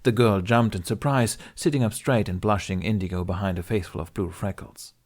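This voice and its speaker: Low-voiced man reading a story. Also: a little fast. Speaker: audiobook reader. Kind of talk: scripted narration of fan fiction audiobook.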